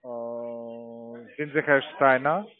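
A man's voice: mid-range voice, steady tone, very slow. A man with a foreign accent cold-calling as a telemarketer, in broken non-native grammar. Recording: tinny phone line.

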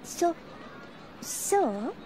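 An actress playing a sweet, innocent high school girl with a gentle, girlish manner in a Japanese audio drama. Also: sing-song, very slow, slight background noise, heavily acted, low-quality recording. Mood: puzzled.

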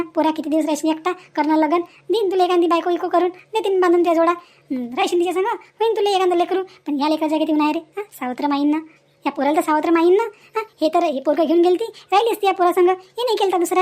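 A woman's voice: very high voice, fairly even intonation, fast, darkish tone. A woman voicing a pleading, scolding motherly village neighbour in a cartoon drama, insistent and repetitive, with a rural Maharashtrian Vidarbha accent. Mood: reasoning, insistent. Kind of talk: Marathi cartoon moral drama dialogue.

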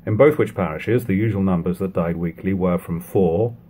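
Low-voiced man reading an old book aloud. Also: husky. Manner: measured, even reading of old prose. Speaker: reader of a classic book. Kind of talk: unhurried audiobook reading. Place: living room at home.

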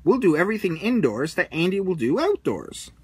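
A man's voice: high voice, very animated, brisk, husky, pitched above the performer's own voice, heavily acted. A man voicing a confident, friendly toy cowboy leader in a storybook, encouraging the others.

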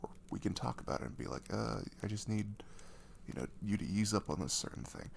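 Casting: male actor as tender, soothing young boyfriend for ASMR audio, gravelly low-end voice, faint background noise. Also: lively intonation, brisk. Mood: tender, reassuring. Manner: hesitant, gentle, soothing, with soft chuckles. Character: caring, reassuring young boyfriend comforting his nervous girlfriend.

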